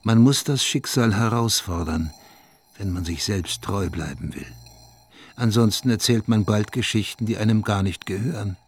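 Brooding male narrator played by an actor, radio play, creaky low voice, measured and reflective, faint noise behind. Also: lightly acted. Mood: elegiac, resolute.

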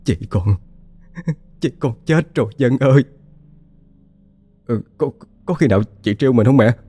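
A man's voice: medium pitch, fast talker, warm tone, husky, no room sound, pitched above the performer's own voice, close to an everyday voice. Man putting on a grieving, tearful mother. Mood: despairing. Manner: pleading, tearful. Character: grieving middle-aged village mother.

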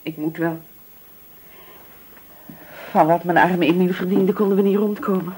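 An actress playing a poor, anxious, grieving widow in a radio drama, speaking hesitantly and submissively. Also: female voice, on the low side, fairly even intonation, moderate pace, darkish tone, slightly rough. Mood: weary, resigned. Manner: hesitant, repeating words, submissive.

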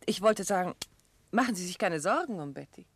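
An actress playing a detective's composed, gently probing wife in a 1950s German radio play, with polite manners. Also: female voice, on the low side, lively intonation, a little fast, breathy edge, dry recording.

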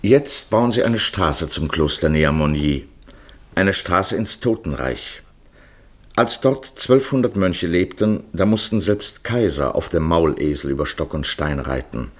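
A man narrating a literary radio play in a solemn, measured, poetic manner. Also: low voice, animated.

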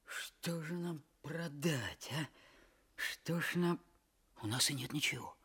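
Husky, slow-talking younger peasant brother. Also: male voice, on the high side, sharp tone, full character voice. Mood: curious, worried. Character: simple, kindly younger brother, peasant man. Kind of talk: audio play fairy-tale acting.

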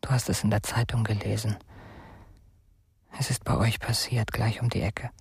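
A man's voice: lowish voice, little pitch movement, moderate pace, no echo, heavily acted. A frightened, confessional teenage nephew in a radio drama. He speaks very breathily and intimately, half whispering, in a dry close recording. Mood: confessing. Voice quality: hushed, breathy. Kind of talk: intimate letter monologue in a radio play.

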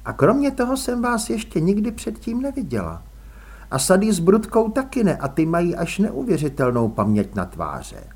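A man voicing a sharp-eyed, self-assured young woman in a storybook, reasoning briskly in a raised voice. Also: sits high, huge pitch swings, breathy edge, voice pushed up, exaggerated voice. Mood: shrewd.

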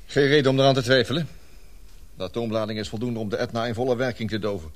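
An actor playing a grave, reasoning male scientist in a Dutch radio drama, speaking in a measured, explanatory way on a noisy recording. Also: medium pitch, lightly acted. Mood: reassuring.

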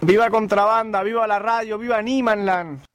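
Man, high voice, fervent, declamatory, rousing radio slogans. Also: fairly even intonation, a little fast, fairly bright tone.

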